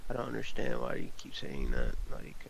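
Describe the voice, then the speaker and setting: A man chatting casually while editing audio, explaining things in a halting, step-by-step way. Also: extremely low pitch, very animated, vocal fry. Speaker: audio drama co-producer helping edit. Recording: hissy home microphone.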